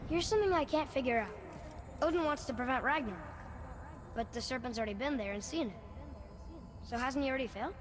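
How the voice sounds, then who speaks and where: A man's voice: very high-pitched, expressive, quick pace, long pauses. A young male actor playing a curious, earnest boy in a video game. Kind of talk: video game character acting.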